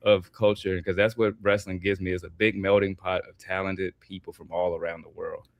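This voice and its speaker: A man talking as an interview guest, relaxed and earnest, with loose phrasing full of "like" and "um". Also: deep voice, moderate pace. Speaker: guest, artist and former wrestler. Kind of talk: casual interview chat.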